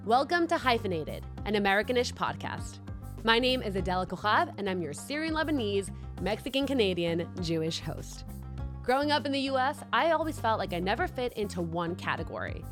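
A woman hosting a podcast, introducing her show and guest in a lively, expressive way. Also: medium pitch, brisk, music in the background. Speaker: podcast host. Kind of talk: podcast interview.

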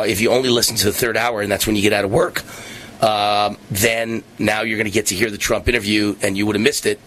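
A middle-aged man hosting a talk radio show, hoarse and losing his voice, rambling and repeating himself with many fillers. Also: fairly low pitch, fairly bright tone, a little hiss or hum, rough recording. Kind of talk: casual monologue on talk radio.

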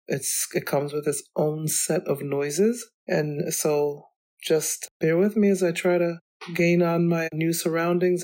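Middle-aged woman, kinda hoarse, chatty podcast host talking from home, goes off on tangents. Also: sits low, dark tone. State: slightly hoarse from illness. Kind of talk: solo podcast monologue. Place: small room at home.

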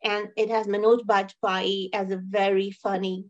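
A woman's voice: medium pitch, flat delivery, unhurried, highs cut off. A woman with a mid-range voice co-hosts a review show. She talks in a casual, conversational way, leaning on emphasis and repeated phrases as she gives her opinions. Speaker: co-host of a film and TV review show.

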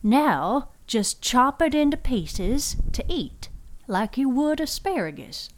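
A woman playing a seasoned storykeeper who lives in the woods, patient and gently teasing in a folksy way, for a fiction podcast. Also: high voice, very animated, takes it slowly, pitched above the performer's own voice, exaggerated voice. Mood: encouraging. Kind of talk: fiction podcast character dialogue.

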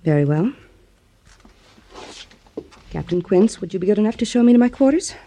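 An actress playing a proud, bitter army widow from back east in a 1950s radio drama, with a formal, cutting manner. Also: female voice, medium pitch, expressive, moderate pace, warm tone. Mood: cold politeness.

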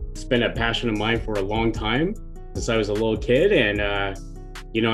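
A man in his forties, born in Thailand and raised in the US, telling his story as an interview guest in an easygoing, unhurried way from his home over a remote call. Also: medium pitch. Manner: easygoing, unhurried, chuckles lightly. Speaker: guest, long-distance hiker. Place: at home, apartment or house.

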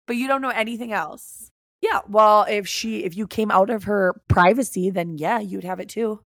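A woman's voice: medium pitch, normal speed, dry recording. An American woman co-hosting a podcast in casual chat, with a blunt, teasing, slangy way of talking. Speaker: podcast co-host.

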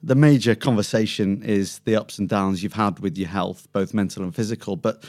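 Low-voiced male podcast host. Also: steady tone, normal speed, darkish tone. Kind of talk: podcast interview.

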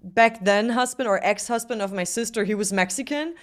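A woman's voice: mid-range voice, a little fast. A young woman from Germany with a German accent, a guest singer speaking English casually, searching for words. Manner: hesitant, searching for words, with fillers. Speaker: guest vocalist. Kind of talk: casual interview talk.

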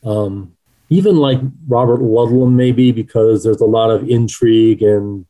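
A man's voice: on the low side, a little slow, mellow, poor audio. A middle-aged man speaking as an author being interviewed, answering in a conversational way.

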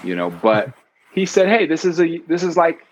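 A man in his fifties, a guest talking casually in an interview, animated and playful as he tells stories. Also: normal speed, dull audio, a little hiss or hum. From New York City. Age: 50s. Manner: animated storyteller, bursts of pitch when excited. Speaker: guest author.

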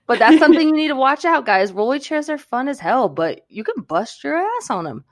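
A woman's voice: high-pitched, fairly quick. A woman in her twenties co-hosting a podcast, talking animatedly and playfully, with lots of "like" fillers. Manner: animated, uses "like" a lot, playful. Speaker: podcast co-host. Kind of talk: casual chat.

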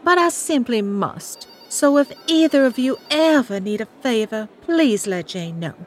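Lady doing a vain queen villainess gone influencer, gracious, highish lilting voice, soft music behind. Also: animated, unhurried. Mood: grandly gracious. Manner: gracious, self-admiring, queenly. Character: vain, regal ex-villainess turned social media influencer. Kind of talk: comic superhero audio drama.

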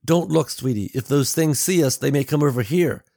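A man's voice: a little high, moderate pace, creaky, mellow, no room sound, clean studio sound, voice pushed up. A man voicing an anxious, protective father with an agitated, urgent manner in an audiobook story. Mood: pained, soothing. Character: anxious, protective suburban father of a young girl.

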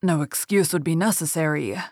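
A woman voicing an angry male beast in a fairy tale read aloud, speaking loud, stern and commanding. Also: sits low, brisk, no echo, clean studio sound.

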